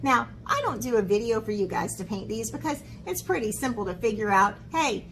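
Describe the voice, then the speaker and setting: A woman with a fairly high voice hosts an instructional art video for children. She is chatty and encouraging, regularly checking that listeners follow along. There is clear background noise behind her. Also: expressive, brisk, a bit bright, rough recording. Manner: encouraging, chatty, frequent check-in tags like "okay?". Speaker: children's art teacher and host. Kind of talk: instructional video talk to children, with read-aloud.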